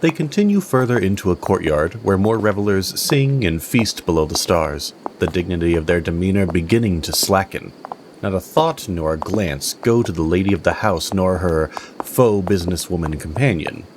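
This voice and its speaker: A man's voice: low-pitched, animated, moderate pace, noisy background. A man playing a solemn storyteller, giving dramatic scripted narration for a fantasy audio drama. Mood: measured, ominous.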